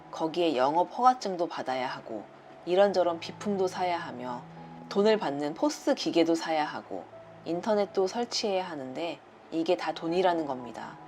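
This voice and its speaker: A woman narrating a listener's submitted story in a calm, even scripted read. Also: low-pitched, a little hiss or hum. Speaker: narrator reading a listener's letter. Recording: faint background music. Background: soft music.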